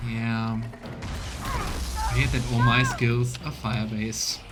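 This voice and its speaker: A man of ordinary pitch chats his way through a fantasy video game, reacting on the fly and wondering aloud about the story. He leans on filler words as he thinks. Loud game music plays behind him. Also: flat delivery, slow. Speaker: let's play host. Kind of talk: casual gameplay commentary.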